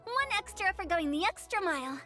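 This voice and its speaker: A woman's voice: very high voice, sing-song, fairly quick, pushed and bright, heavily acted. A woman playing a young, eccentric funeral director, theatrical and playful, in a video game cutscene. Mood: exuberant. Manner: theatrical, mischievous, salesy. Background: game music.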